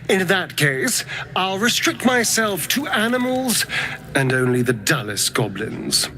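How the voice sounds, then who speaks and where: A man's voice: high-pitched, very animated, takes it slowly, sharp tone, exaggerated voice. A man playing an arch, theatrical, mocking elf rogue in a video game, teasing and drawling. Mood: amused. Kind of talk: video game character acting. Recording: music behind the voice.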